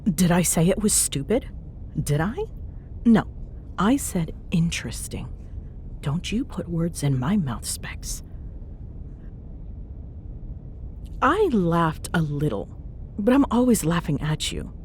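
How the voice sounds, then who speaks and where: A woman's voice: big pitch swings, unhurried, darkish tone. A woman playing a teasing, bossy but fond young ghost hunter in an intimate ASMR roleplay, bantering sarcastically in a casual, murmuring way. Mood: playfully defensive. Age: young adult. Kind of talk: ASMR audio roleplay, intimate one-sided chat. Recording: clear background noise with low rumble.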